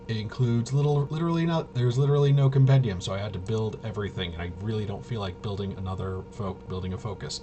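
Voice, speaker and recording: A man hosting a tabletop game walkthrough, thinking aloud in a casual, halting way and muttering to himself. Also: lowish voice. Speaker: host of a tabletop RPG video. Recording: noisy room, clearly audible background noise.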